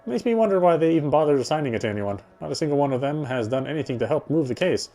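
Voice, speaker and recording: Mellow man reading a game story. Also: a little high, expressive, racing, faint music behind. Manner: reads conversationally, with stumbles and repeats. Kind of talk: first-person reading of a visual novel script.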